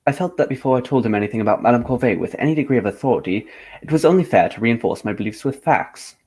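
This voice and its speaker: An actor playing a composed, courteous gentleman detective in a radio drama, speaking in a formal, measured way. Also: mid-range voice, animated, breathy edge.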